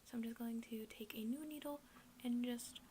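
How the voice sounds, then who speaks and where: A woman whispering in a breathy, hushed, halting way, doing an ASMR roleplay as a gloomy, deadpan emo best friend. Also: on the high side, background noise. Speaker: ASMR video creator.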